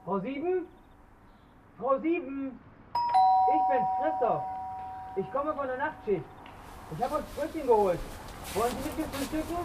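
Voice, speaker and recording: A man's voice: extremely high pitch, animated, moderate pace, pauses often, heavy background noise. An actor playing a shy, awkward young man in a German radio crime drama. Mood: friendly. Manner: hesitant, awkward.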